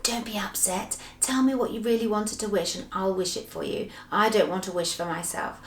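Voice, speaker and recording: Kindly, excitable magical creature for children's story, played by a woman: exclamatory, very lively pitch, roomy sound. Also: normal speed, a little hiss or hum.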